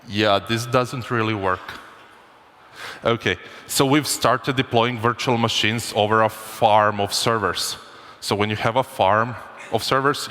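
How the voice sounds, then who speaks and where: A man's voice: on the low side, sharp tone. A man from Croatia giving a joking, informal conference talk with restarts. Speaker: conference speaker. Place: conference hall. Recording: long hall reverb.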